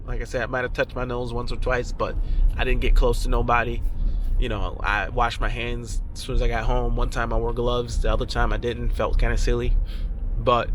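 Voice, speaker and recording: Male vlogger, congested, frank rambling chat outdoors on an action cam, mid-range pitch. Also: completely flat delivery, a little fast, fairly bright tone, a little hiss or hum. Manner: rambling, frank, many restarts. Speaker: vlogger, lawn care business owner. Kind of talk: casual vlog talk to camera. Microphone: action camera.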